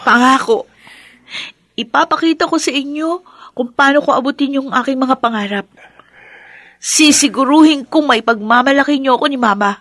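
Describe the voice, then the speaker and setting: Radio drama role: affectionate young Filipino girl, very high voice, close dry sound, earnest. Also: normal speed, pauses often, fairly bright tone, no echo. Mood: tender, grateful. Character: sweet, grateful young adopted daughter, affectionate and dreamy. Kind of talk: Tagalog radio drama acting.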